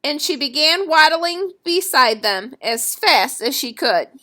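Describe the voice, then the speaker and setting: High, bright-voiced woman storyteller. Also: lively intonation, measured pace. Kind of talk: expressive children's story reading.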